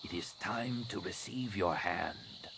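A man voicing a stern alien woman of rank, a master scientist, speaking in a slow, hushed, imperious way for a story. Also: sits low, exaggerated voice. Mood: solemn. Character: stern female alien master scientist nearing middle age. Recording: clearly noisy recording.

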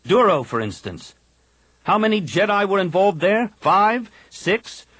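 A man voicing a defiant young male Jedi pilot, with an insistent, forceful delivery. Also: sits high, big pitch swings, measured pace, slightly rough, no echo, pitched above the performer's own voice, exaggerated voice. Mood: scornful.

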